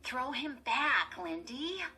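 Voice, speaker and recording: Lady doing an anxious annoyed girl, high tense voice, emphatic, kids storybook. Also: very animated, slow, sharp tone, breathy edge, full character voice. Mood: exasperated. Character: anxious, easily disgusted young girl, exasperated sister.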